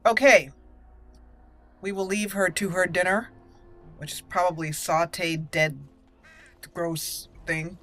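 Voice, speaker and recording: A woman's voice: lowish voice, fairly even intonation, takes it slowly, long pauses, fairly bright tone, soft background music. A woman hosting a roleplay let's play, chatting casually and playfully as she thinks aloud. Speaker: game roleplay channel host.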